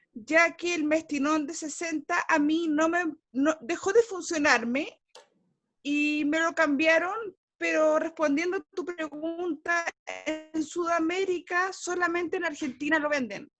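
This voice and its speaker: A woman's voice: high voice, fairly bright tone. A woman from Chile, speaking earnestly and a bit hesitantly in a group video call. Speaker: support group participant.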